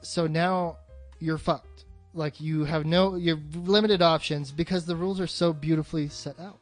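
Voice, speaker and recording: A man's voice: a little high, fairly even intonation, moderate pace, faint music behind. A man hosting a podcast in a casual, rambling movie discussion, swearing freely and sounding enthusiastic. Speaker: podcast host.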